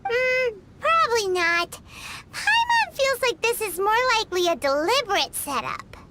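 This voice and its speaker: A woman voicing a small, chirpy fairy companion girl in a video game, excitable and talking about herself in the third person. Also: very high voice, measured pace, background noise, heavily acted. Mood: puzzled. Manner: chirpy, exclaiming, refers to self in third person. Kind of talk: video game character acting. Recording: music playing behind the voice.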